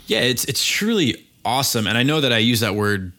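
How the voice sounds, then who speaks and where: A man hosts a relaxed weekly podcast in an upbeat, chatty way, his voice sitting in an ordinary middle range. He sounds a little stuffed up from a cold. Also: mid-range voice, fairly quick. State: congested from a cold. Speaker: podcast host. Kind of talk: casual podcast chat.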